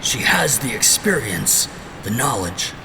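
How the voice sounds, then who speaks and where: A man's voice: mid-range voice, sing-song, a little slow, distant mic, background noise. A man playing a loyal but cowed royal guard in a fantasy drama, speaking in an earnest, dutiful way. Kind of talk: scripted fantasy audio drama dialogue.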